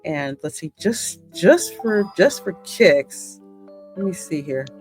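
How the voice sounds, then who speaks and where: A woman's voice: on the low side, animated, takes it slowly, a little mellow, soft background music. A woman in casual, informal chat as a gaming co-host, with little self-mocking asides. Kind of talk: casual chat reacting to a game.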